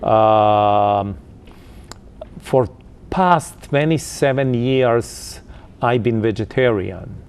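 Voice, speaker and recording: A man in his fifties from Croatia with a Croatian accent, answering interview questions in a halting way with many fillers. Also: mid-range voice, a little mellow, clear, resonant tone. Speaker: interview guest.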